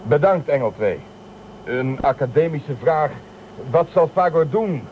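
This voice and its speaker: A man's voice: a little high, expressive, measured pace. A man playing a weary, sardonic spaceship commander in a radio drama, dry and resigned. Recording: dull lo-fi band, faint hiss.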